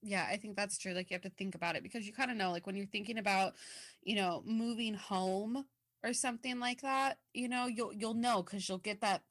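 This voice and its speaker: A woman's voice: mid-range voice, fairly even intonation, brisk, a bit bright, dry recording. Chatty woman podcaster, dry close mic. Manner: chatty, filler-heavy, laughs easily. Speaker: podcast co-host. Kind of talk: casual podcast chat, reading listener mail.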